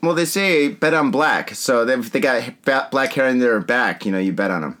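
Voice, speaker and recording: A man hosting a casual drinking podcast from a hotel room, joking and laughing through animated banter. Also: mid-range voice, moderate pace. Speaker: podcast host. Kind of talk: casual drinking-show chat.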